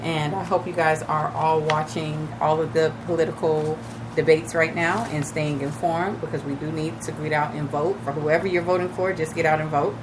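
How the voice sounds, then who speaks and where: A woman radio host with a raspy, slightly shaky voice from recently losing it, chatting casually and advising listeners directly. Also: deep voice, little pitch movement, moderate pace, background noise. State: recovering from lost voice, a little shaky. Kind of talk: casual radio talk on real estate. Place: radio studio.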